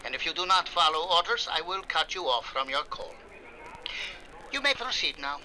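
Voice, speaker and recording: An actor playing an officious wartime censor in a 1940s-style radio drama, formal and commanding. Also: male voice, fairly high pitch, lively intonation, normal speed, sharp tone, a little hiss or hum, poor audio. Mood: stern.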